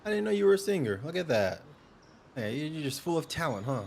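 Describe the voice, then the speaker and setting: A young man streaming a game, chatting casually with viewers in a smooth, upbeat, joking way. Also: on the high side, big pitch swings, fast, slight background noise. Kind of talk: casual livestream chat.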